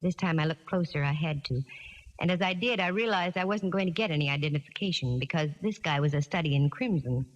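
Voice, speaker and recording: A woman's voice: low-pitched, a little fast, muffled recording, lightly acted. Actress as a wry American female private eye, reverberant radio. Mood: brooding narration. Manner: wry, hard-boiled, dry delivery. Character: wisecracking, tough female private eye narrating her case. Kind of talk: 1940s radio detective drama acting and narration. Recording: 1940s radio recording, reverberant, music behind.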